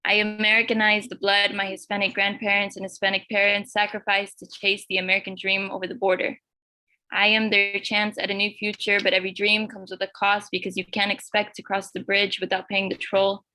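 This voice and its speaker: A teenage girl from Los Angeles reading her own spoken word poem in an earnest, measured way. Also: medium pitch, completely flat delivery, sharp tone, no echo, poor audio. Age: teens. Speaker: youth poet.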